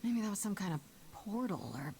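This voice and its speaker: A woman's voice: medium pitch, expressive, a little fast, mellow, a little hiss or hum, close to an everyday voice. A woman playing an earnest, uncertain young heroine with dry wit in a video game. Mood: wary.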